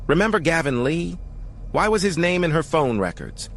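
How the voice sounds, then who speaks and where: Actor playing a swinging, sardonic noir private eye. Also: male voice, on the high side, big pitch swings, moderate pace, fairly bright tone, heavily acted. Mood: sardonic, pressing. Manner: clipped, sardonic, confrontational. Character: hard-boiled 1940s private eye, tough, cynical man. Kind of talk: 1940s noir radio drama acting.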